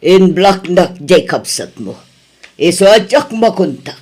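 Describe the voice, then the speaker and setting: A woman's voice: fairly low pitch, animated, a little slow, a little mellow, soft background music. A woman with an Ilocano accent playing a stern, hurt middle-aged mother in an audio drama.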